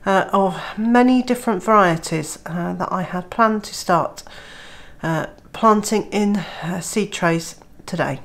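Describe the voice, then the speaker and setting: A woman's voice: medium pitch, a little slow. A woman vlogging casually about her homestead while she has a cold, sounding nasal and throaty, with lots of filler sounds. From Wales. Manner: chatty, many uh fillers. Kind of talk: casual vlog to camera.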